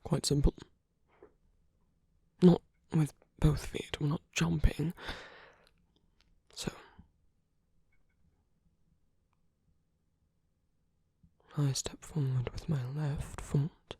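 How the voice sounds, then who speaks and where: A man playing a suave, flirtatious villain in an ASMR roleplay, speaking softly and intimately in a breathy half-whisper with a teasing, coaxing manner. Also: a little high, fairly even intonation, slow, long pauses, no echo, heavily acted. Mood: patient, instructing. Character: suave flirtatious male villain wooing a hero at a masquerade.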